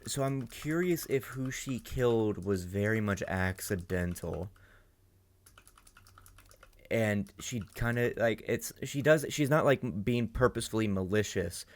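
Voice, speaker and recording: A young adult man hosting a reaction video, chatty and excitable, musing aloud and breaking into loud outbursts. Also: on the low side, a little slow. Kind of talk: casual reaction commentary.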